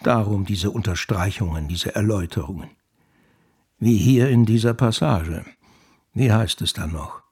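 Casting: proud, caustic writer in radio monologue, low-pitched, very raspy, self-satisfied and biting. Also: male voice, a little slow, a little mellow. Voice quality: gravelly, raspy. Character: proud, caustic male novelist brooding over his critics.

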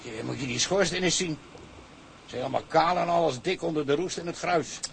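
Creaky, high-voiced plain-spoken sailor friend. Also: male voice, brisk, a little hiss or hum. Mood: disgusted. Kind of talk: radio drama acting.

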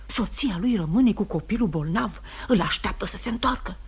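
A woman's voice: mid-range voice, lively intonation, breathy edge. An actress playing a worried, scornful older mother in a Romanian radio play, speaking in an emphatic, theatrical way with sighs. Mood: scornful, indignant. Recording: noisy vintage radio drama recording.

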